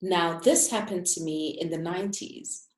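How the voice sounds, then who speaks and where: A woman, an interior architect, giving a prepared, reflective talk and slowing down to stress key words. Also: fairly low pitch, roomy sound, low-quality recording. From Africa.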